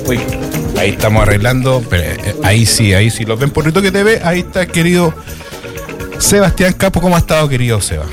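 A man's voice: medium pitch, expressive, fast talker, music in the background. A man in his forties with a Chilean accent, hosting a casual radio show and chatting between listener messages. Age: 40s. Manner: chatty, reads listener messages aloud with friendly asides. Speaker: radio host.

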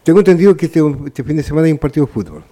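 A man's voice: on the high side, big pitch swings, very fast, dark tone. A man with a Chilean accent hosting a live local radio interview in a courteous, formal way. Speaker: radio host.

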